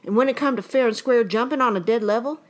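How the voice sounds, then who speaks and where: A woman's voice: fairly high pitch, lightly acted. A woman performing a comic short story as a rambling, folksy old-time yarn-spinner, with an earnest, conversational manner. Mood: excited, admiring. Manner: folksy, drawn-out, conversational storytelling in rustic dialect. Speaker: actor reading aloud.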